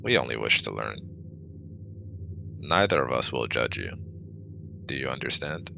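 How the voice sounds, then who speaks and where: A man's voice: extremely low pitch, animated, unhurried, gravelly, noisy background, exaggerated voice. A man playing a stiff, formal alien scientist in a scripted ASMR roleplay, clinical and robotic in manner. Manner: formal, robotic, avoids contractions.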